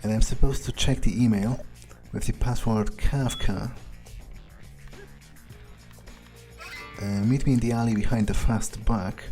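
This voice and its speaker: A man roleplaying a detached, pragmatic male vampire in a game playthrough, musing aloud with hesitant pauses. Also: mid-range voice, steady tone, music in the background, lightly acted. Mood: musing, matter-of-fact. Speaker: player roleplaying a character. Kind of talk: in-character game playthrough narration.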